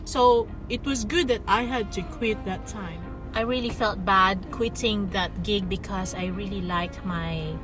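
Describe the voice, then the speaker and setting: A woman's voice: mid-range voice, unhurried, hardly any pauses. A woman from the Philippines with a Filipino accent, telling a personal story to her viewers in a chatty way, often saying "you know" and "guys". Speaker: vlogger. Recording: distant mic with clear music behind.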